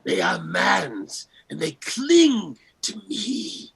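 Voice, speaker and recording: Grim warning ghost, radio play, super slow n theatrical, bit creaky. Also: female voice, on the low side, wildly swooping pitch, full character voice. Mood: grave warning. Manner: slow, dramatic, wavering between hushed and crying out. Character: solemn, ominous Christmas spirit warning of doom.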